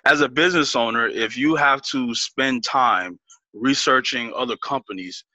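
A man's voice: mid-range voice, moderate pace, bright, forceful tone, dry recording. A man as an interview guest, laughing easily and explaining things step by step with many restarts. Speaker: business guest. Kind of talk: casual interview talk.

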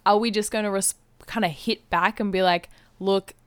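A woman's voice: mid-range voice, moderate pace, clean tone, no echo. Chatty Australian woman, very dry close sound. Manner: animated, giggly, reacts expressively. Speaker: podcast co-host. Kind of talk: casual comedic chat.

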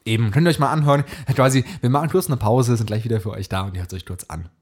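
A man's voice: medium pitch, quick pace, darkish tone, breathy edge. A young man co-hosting a casual podcast on a close condenser mic, joking and poking fun at himself with a giggly manner. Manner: jokey, giggly, self-mocking. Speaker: podcast co-host. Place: recorded podcast on studio condenser microphone. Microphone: close condenser microphone.

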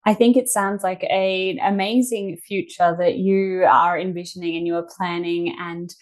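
A woman's voice: fairly low pitch, fairly even intonation, normal speed, a little mellow. A woman hosting an interview in a conversational, effusive and encouraging manner. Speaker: interviewer, host.

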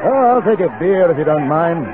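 An actor playing a polite, unflappable English newspaper correspondent out west, with a British accent, in old western radio drama. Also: male voice, fairly high pitch, expressive, fairly quick, a loud crowd, natural voice. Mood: dryly amused. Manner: polite, formal, understated, hesitant when pressed. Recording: tinny old radio broadcast with music.

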